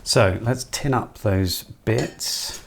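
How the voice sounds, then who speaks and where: A man's voice: on the low side, drawn-out words, vocal fry. A man giving casual, informal hands-on commentary as he works through an electronics build, half talking to himself. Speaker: electronics hobbyist presenter.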